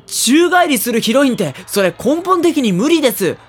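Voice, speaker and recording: A woman's voice: high-pitched, very animated, moderate pace, breathy edge, faint music behind, heavily acted. A woman playing a sensible, exasperated teenage schoolboy who makes dry, protesting retorts in an audio drama. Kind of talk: Japanese anime-style audio drama acting.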